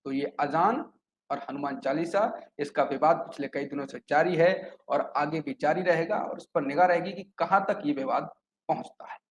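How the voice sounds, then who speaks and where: A man's voice: on the high side, moderate pace. A man presenting a scripted news report in an explanatory way, occasionally stumbling over words. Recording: echoey room with clear background noise.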